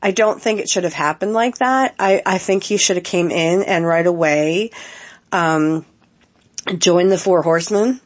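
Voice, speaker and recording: A woman hosting a casual podcast, getting over a head cold, talking in a halting way full of ums while giving earnest opinions. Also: on the low side. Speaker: podcast host.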